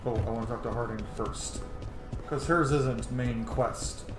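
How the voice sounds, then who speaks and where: A man giving casual, excitable, sweary commentary as he plays a role-playing video game. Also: mid-range voice, a little slow, over music. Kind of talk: casual let's play commentary. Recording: roomy echo, clearly noisy background.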